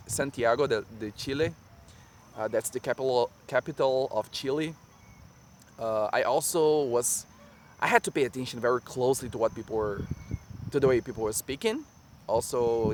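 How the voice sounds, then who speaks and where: A Brazilian man whose first language is Portuguese, speaking English with a Brazilian accent in a relaxed, halting way with many fillers, recorded outdoors in a park. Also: medium pitch. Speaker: vlogger. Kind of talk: casual chat to camera. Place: sunny park by a lagoon, outdoors. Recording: faint park and traffic noise outdoors.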